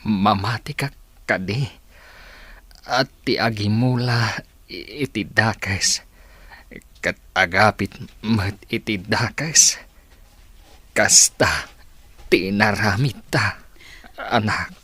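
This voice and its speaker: A man playing an ailing, humble father who speaks haltingly with a stammer and a husky voice in an audio drama. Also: lowish voice, sharp tone, dry recording, exaggerated voice. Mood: gentle, earnest. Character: ailing humble father, slowly recovering his speech.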